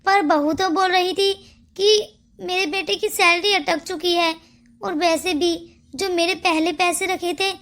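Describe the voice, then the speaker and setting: A woman acting a plaintive, high-voiced old mother-in-law. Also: a bit bright, exaggerated voice. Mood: worried, complaining. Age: older. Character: frail, pleading, neglected elderly mother-in-law in a cartoon. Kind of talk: Hindi cartoon moral-story acting.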